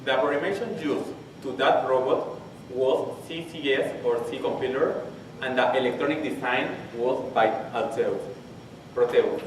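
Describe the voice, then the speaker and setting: A man in his twenties from Peru with a Spanish accent, his first language, giving a technical conference talk and haltingly restarting phrases as he searches for English words. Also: medium pitch. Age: 20s. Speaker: conference presenter. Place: large conference hall. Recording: very echoey hall, distant mic, faint hiss.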